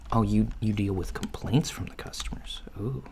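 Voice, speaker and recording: A man playing a laid-back alien job interviewer in a soft-spoken ASMR roleplay, hesitant and matter-of-fact, with keyboard typing behind him. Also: fairly low pitch, moderate pace, creaky. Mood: casual, reassuring. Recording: faint noise, lo-fi ASMR, keyboard sounds. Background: computer keyboard typing and clicks.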